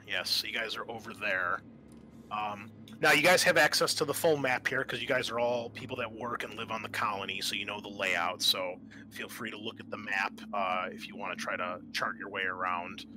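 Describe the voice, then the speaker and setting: A man running a tabletop roleplaying game as game master, narrating in a halting, improvised way. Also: on the low side, steady tone, sharp tone, rough recording. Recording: noticeable background noise.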